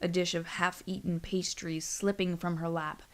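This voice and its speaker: A woman narrating a scripted audio story in a deliberate, literary storytelling manner. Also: on the low side, level tone.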